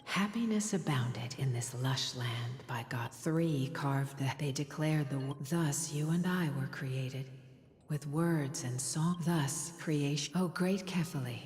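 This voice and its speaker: A woman playing a gentle, doting mother in a video game, speaking softly and soothingly to her child. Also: low voice, a little slow. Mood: solemn. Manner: tender, soothing, softly spoken. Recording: echoey long hall reverb, music behind.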